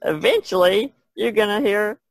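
A woman's voice: medium pitch, moderate pace, creaky, no room sound. A woman co-hosting a podcast in casual, supportive chat. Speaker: podcast co-host.

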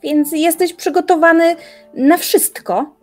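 Woman acting slow, determined young woman. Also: very high-pitched, steady tone, soft background music. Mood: probing. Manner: measured, formal, argumentative. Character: determined, defiant young woman challenging her elder. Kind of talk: audio drama acting, literary dialogue.

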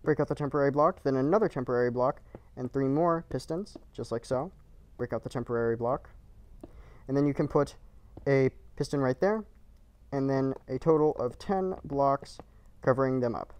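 A man's voice: mid-range voice, moderate pace, darkish tone. A man narrating a game build tutorial in short, clipped, matter-of-fact instructions, recorded as a voice-over.

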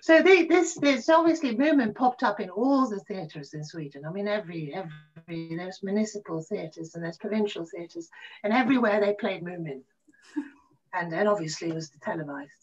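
A middle-aged woman reminiscing casually in an interview, telling stories in a halting, animated way. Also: mid-range voice, husky. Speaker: interview guest, actor. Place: room at home, on a video call. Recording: muffled video call audio.

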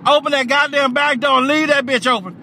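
Casting: cranky old uncle, piercing high holler, profane and agitated, prank-call comedy, some car noise behind. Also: male voice, steady tone, brisk, heavily acted. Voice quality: high, strained, loud holler. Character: irate foul-mouthed old nursing home worker called Uncle Buddy.

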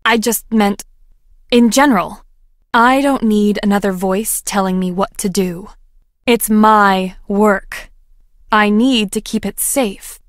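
A guarded young woman scientist played by a game actress talks plainly and earnestly, with folksy turns of phrase. Her voice sits at a middle pitch in a close, dry recording. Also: slow pace, no room sound, lightly acted. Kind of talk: video game voice acting.

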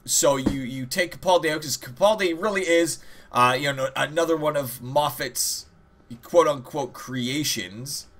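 A man's voice: on the high side, a little slow, fairly bright tone. A man giving casual gameplay commentary as host, rambling and joking with plenty of swearing and mock-dramatic asides. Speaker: gaming video host. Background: game music.

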